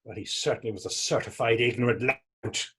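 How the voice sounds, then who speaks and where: A man's voice: medium pitch, a little fast, a bit bright, husky. A man playing a curious, sympathetic Irish companion with a poetic streak in a readers theatre drama, speaking in florid old-fashioned phrases with an Irish accent. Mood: supportive. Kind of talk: stage readers theatre acting in dialect.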